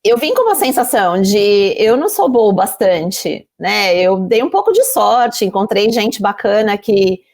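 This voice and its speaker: A woman's voice: medium pitch, big pitch swings, unhurried, few pauses, a little mellow. Candid Brazilian woman telling her story. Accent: Brazilian Portuguese. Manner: candid, many fillers and restarts, self-questioning. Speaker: podcast guest.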